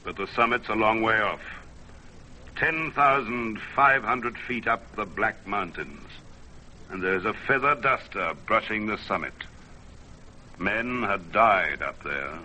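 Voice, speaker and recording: A man's voice: fairly low pitch, expressive, takes it slowly, pushed and bright, slight background noise, exaggerated voice, rough recording. A man playing a dramatic storyteller, narrating an old-time radio adventure in a theatrical, incantatory way. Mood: urgent, foreboding. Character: dramatic male storyteller of a perilous mountain climb.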